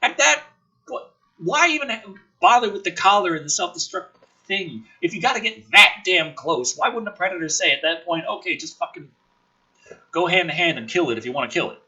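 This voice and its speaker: A man's voice: high-pitched, expressive, a little fast, sharp tone, husky, dull audio. A man reading a script aloud theatrically, with sudden emphasis and sarcastic, exasperated, profane commentary. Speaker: host reading and mocking a film script.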